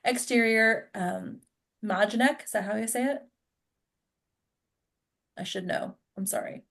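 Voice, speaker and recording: A woman's voice: a little high, unhurried, fairly bright tone. A woman narrating stage directions at a table read in a plain, informal way.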